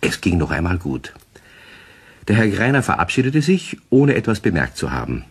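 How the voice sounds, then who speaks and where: A man's voice: deep voice, very animated, moderate pace, breathy edge, heavily acted. A man narrating a children's radio play as a genial storyteller, in scripted storybook style. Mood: storytelling.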